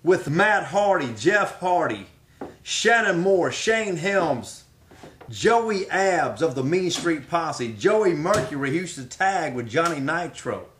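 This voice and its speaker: A middle-aged American man with a somewhat high voice. He talks like a wrestler promoting himself, hammering phrases home with dramatic repetition. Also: expressive, takes it slowly, fairly bright tone, slight background noise. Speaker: professional wrestler. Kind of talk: promotional speech answering questions.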